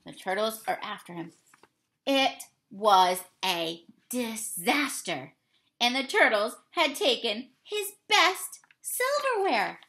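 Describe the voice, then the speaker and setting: High, bright young woman reading a storybook. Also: sing-song. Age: young adult. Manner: deliberate, addresses young listeners with questions. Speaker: teacher reading aloud. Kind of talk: expressive children's storybook read-aloud. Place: small indoor room.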